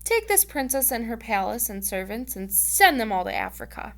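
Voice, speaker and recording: A woman's voice: on the high side, big pitch swings, moderate pace, a bit bright. Scheming male magician for a storybook woman reader performing; calls out, commanding.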